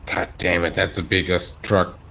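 A man's voice: deep voice, level tone, normal speed, sharp tone. A man chatting casually in character as a police officer in an online role-play game.

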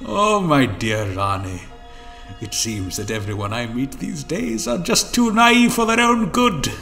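A man's voice: a little high, big pitch swings, unhurried, creaky, pushed and bright, soft background music, heavily acted. A man playing a mocking, theatrical villain schemer in an audio drama, silky and taunting in his florid delivery. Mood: sly, taunting.

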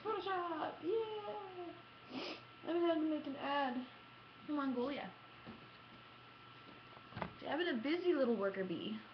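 A young adult woman chatting casually to camera as a gaming vlogger, with an animated, self-deprecating manner and dramatic emphasis. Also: high-pitched, a little slow, pauses often. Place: small room at home, indoors. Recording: muffled lo-fi webcam audio, background noise.

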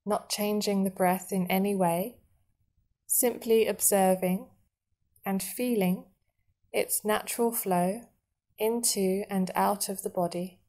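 A woman guiding a meditation in a soothing, measured manner, leaving long pauses between short phrases. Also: medium pitch, fairly even intonation, mellow. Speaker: meditation guide. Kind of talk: guided body scan meditation narration.

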